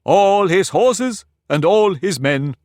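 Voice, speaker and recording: A man's voice: high-pitched, lively intonation, slow pace, darkish tone, no room sound, studio quality, pitched above the performer's own voice. A man voicing a pompous, touchy talking egg in a storybook reading, boastful and argumentative. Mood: lordly. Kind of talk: audiobook character reading.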